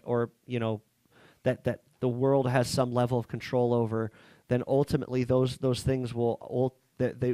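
A man's voice: medium pitch, darkish tone, dry recording. Earnest man preaching on a headset mic. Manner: earnest, halting delivery with frequent restarts. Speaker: pastor. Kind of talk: sermon. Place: small church hall set up as a coffee shop.

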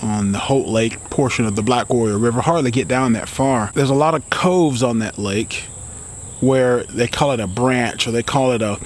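A middle-aged American man talks casually to camera as a fishing vlogger. His medium-pitched voice rambles informally through explanations, often repeating words. The outdoor recording carries noticeable cricket and insect noise. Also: moderate pace. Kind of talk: casual instructional talk to camera. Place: outdoors beside a building in a green yard. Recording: clearly noisy outdoor insect ambience.

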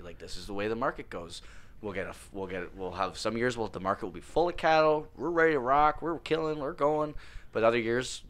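Canadian man, podcast guest, lowish bright voice, chatty storyteller. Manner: chatty storyteller, acts out quotes. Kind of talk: casual chat. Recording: podcast studio mic.

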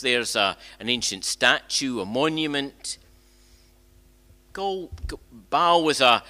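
A man's voice: mid-range voice, pushed and bright. An older man preaching a conversational sermon as a minister in a church, often restarting and repeating phrases and posing rhetorical questions. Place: church sanctuary, indoors. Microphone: gooseneck lectern microphone.

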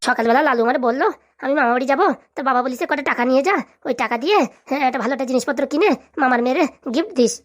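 Someone playing a high-voiced, excitable young village lad. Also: rapid-fire, lightly acted. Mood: polite. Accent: Bengali, rural dialect. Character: indignant, boastful young man, a betrayed friend. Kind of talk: comedy cartoon dialogue.

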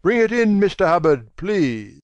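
An actor playing a wealthy young gentleman who is polite, offhand and a little weary, in an audiobook drama. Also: high-pitched, very animated, a little slow, no room sound, muffled recording.

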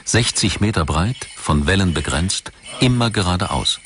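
A man reading scripted documentary narration in a measured, factual manner. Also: low voice, lively intonation, fairly bright tone, a little hiss or hum. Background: background music.

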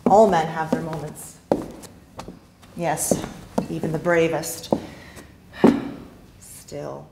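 A middle-aged woman on a theatre stage playing a proud, cunning Russian lady spy in a deliberate, dramatic, declamatory style. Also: lowish voice. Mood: reflective, pitying. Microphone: distant camera. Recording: echoey stage from afar, noisy, clicks.

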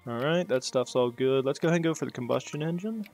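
Gravelly-voiced man rambling over a strategy game. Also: medium pitch, fast, darkish tone, soft background music. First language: English. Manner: rambling, sarcastic asides to himself. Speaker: gaming channel host. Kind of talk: casual strategy-game commentary.

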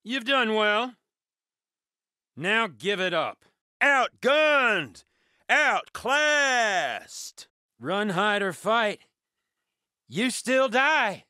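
A sadistic male game villain who relishes the hunt. His voice is fairly high and very animated, lurching between murmured taunts and shouts. He gloats slowly, savouring every threat. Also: clear, resonant tone, no echo, clean studio sound, exaggerated voice. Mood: menacing. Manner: taunting, gleeful, menacing, swinging from murmurs to shouts. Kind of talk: video game combat voice lines.

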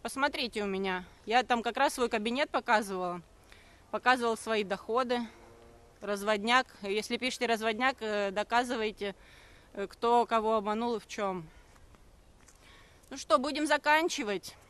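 Brisk, bright-voiced woman streaming outdoors. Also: fairly high pitch, smooth. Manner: brisk, defensive, with many hesitations. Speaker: network marketing streamer. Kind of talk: live stream answering comments. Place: walking outdoors on a forest path. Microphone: phone at arm's length.

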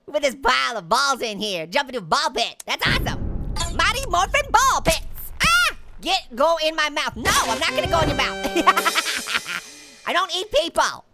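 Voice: high voice, big pitch swings, moderate pace, gravelly, over loud music, exaggerated voice. A performer voicing a cocky, joking talking orange who comments on a game, goofy and full of yelps. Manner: goofy, jokey, yelps and laughs. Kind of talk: cartoon character playing a game on camera.

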